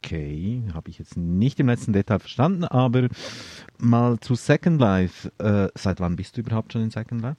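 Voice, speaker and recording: A man's voice: on the low side, animated, moderate pace, dark tone. A man hosting an interview, asking teasing questions in a joking manner. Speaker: podcast host. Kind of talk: hosted interview.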